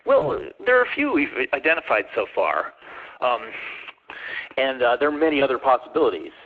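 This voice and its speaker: A man presenting in a webinar, answering questions with many pauses and fillers. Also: mid-range voice, huge pitch swings. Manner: hesitant, many ums and uhs. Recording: tinny phone-line audio.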